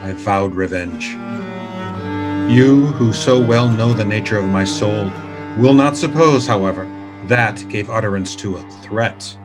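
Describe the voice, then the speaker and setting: An actor playing a vengeful, smoothly false-friendly nobleman who narrates in a deliberate, formal, theatrical dramatic reading. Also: fairly low pitch, music in the background, lightly acted. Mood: brooding, vengeful. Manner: deliberate, measured, formal diction.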